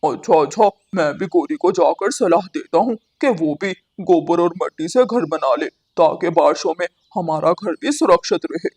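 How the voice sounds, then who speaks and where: A woman voicing a nosy, henpecked crow husband in a cartoon, with a husky, nagging delivery. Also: lowish voice, animated, normal speed, hardly any pauses, a little mellow, pitched below the performer's own voice. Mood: eager.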